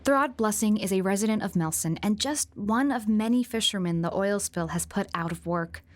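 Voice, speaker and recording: A woman's voice: medium pitch, normal speed, no room sound, natural voice. A woman playing an earnest public radio field reporter with a composed, careful journalistic delivery. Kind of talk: scripted news narration and interviewing.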